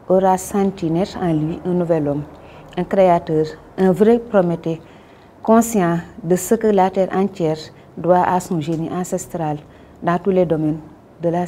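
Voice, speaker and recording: A Senegalese woman being interviewed as a guest, speaking French in a measured, formal way with frequent hesitations; her first language is Wolof. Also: lowish voice, mellow, a little hiss or hum. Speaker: guest, publisher and Wolof teacher. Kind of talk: interview answers.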